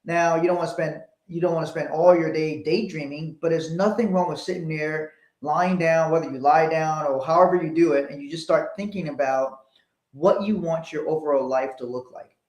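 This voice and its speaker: A man's voice: fairly high pitch, steady tone, moderate pace, a little mellow. A man from Liberia co-hosting a motivational talk, speaking earnestly and often repeating words as he starts a sentence. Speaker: co-host. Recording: echoey room.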